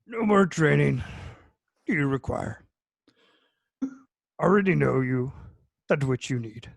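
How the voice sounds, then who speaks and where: A wizened green alien master, weary and wise. The voice is slightly high, husky and full of croak, with halting, inverted phrases read from a film script in a dry room. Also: male voice, animated, dry recording, full character voice. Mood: grave, weary. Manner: inverted syntax, halting, grave. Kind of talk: online amateur script table read. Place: home room, on a video call.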